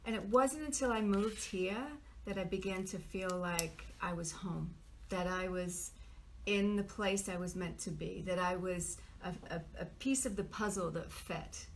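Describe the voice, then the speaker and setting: A woman's voice: fairly low pitch, a little slow, slight background noise. A woman from the English Midlands, a guest coach telling her personal story in casual conversation. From Nottinghamshire, England. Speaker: guest, business coach and author.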